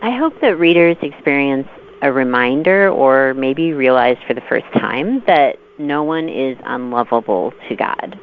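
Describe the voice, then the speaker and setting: A woman author answering interview questions in a measured, earnest, articulate way. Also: a bass voice, lively intonation. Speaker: novelist guest. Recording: phone-like line with clear noise.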